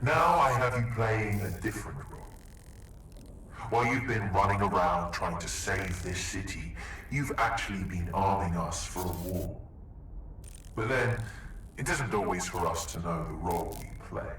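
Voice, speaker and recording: A low, heavily echoing male villain voice, slow and ominous. The menacing hacker sounds disguised and theatrical, speaking from far off. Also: fairly bright tone. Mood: cold menace. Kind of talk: villain monologue in a video game. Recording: echoing, distant, faintly noisy broadcast.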